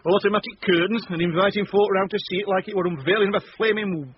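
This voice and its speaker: An actor playing a blunt, bawdy, envious small-town councillor with a Northern English accent in a British radio drama. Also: male voice, high-pitched, quick pace, natural voice. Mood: indignant, envious. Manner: blunt, earthy, grumbling. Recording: dull, noisy lo-fi radio recording.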